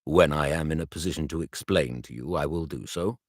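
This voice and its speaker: A man doing a stiff, reserved young gentleman. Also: extremely low pitch, fairly quick, dry recording, studio quality. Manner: clipped, formal, reserved.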